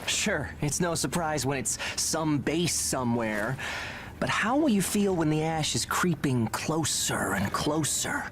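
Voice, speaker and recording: A man's voice: fairly high pitch, big pitch swings, a little slow. A man playing a brash, friendly young fighter in a video game, chatty, teasing and boastful. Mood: casual, uneasy. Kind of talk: video game cutscene voice acting. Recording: music behind the voice.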